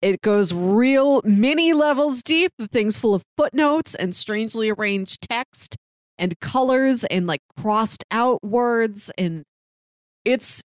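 A woman's voice: a little high, expressive, slow, no echo, clean studio sound. A woman co-hosting a podcast, explaining things in a casual, informal way as in a book club chat. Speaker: podcast co-host.

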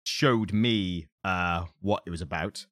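A young man with a husky voice, co-hosting a casual podcast chat, rambling and correcting himself as he goes. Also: low-pitched, slow, fairly bright tone, no room sound, studio quality. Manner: rambling, self-correcting enthusiast. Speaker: podcast co-host.

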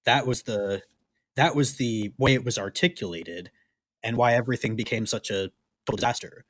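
A man co-hosting a casual sports podcast, explaining things in a wry, measured way. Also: medium pitch, a bit bright, no echo. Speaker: podcast co-host.

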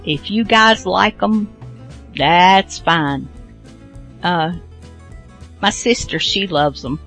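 Slow, rambling woman radio host. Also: lowish voice, a bit bright, soft background music. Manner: chatty, rambling, self-deprecating. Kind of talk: casual rambling show introduction.

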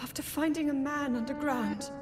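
Solemn young princess from a video game woman acting, deliberate, music behind, big reverb. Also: sits high, natural voice. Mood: solemn, urgent. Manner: solemn, grave, deliberate delivery. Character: earnest young princess warning her king.

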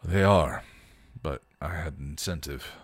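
A man's voice: rumbling low, a little slow, breathy edge, no room sound, voice pushed down, exaggerated voice. A man voicing a sardonic, grieving male punk vampire, slangy and weary in a fan fiction reading.

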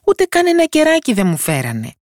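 A narrator putting on a high-pitched tiny cheeky boy. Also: wildly swooping pitch, dry recording, clean studio sound. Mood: dismayed. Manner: bold, mocking, calling out loudly. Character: tiny cheeky boy, thumb-sized fairy-tale hero. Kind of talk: storybook character voice.

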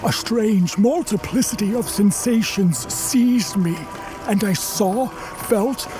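A man plays a wounded, articulate man-made creature telling its own tale in a radio drama. The voice is high and soft-edged, and the delivery is slow, formal and full of longing. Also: faint music behind, poor audio. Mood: wondering, solemn. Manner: formal, literary, measured storytelling with drawn-out words. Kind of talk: dramatic radio play narration.

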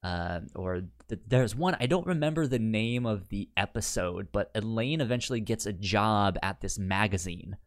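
A man in a casual podcast chat as co-host, speaking haltingly and often repeating syllables and words. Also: on the low side, expressive. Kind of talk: casual chat about sitcom episodes.

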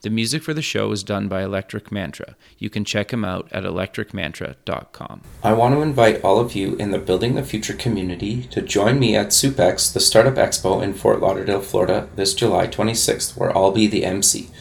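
Guy in his 30s hosting a podcast, kinda gravelly, reads the plugs then chats loosely. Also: on the low side. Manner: scripted promo read, then halting casual questions. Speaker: host. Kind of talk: podcast interview.

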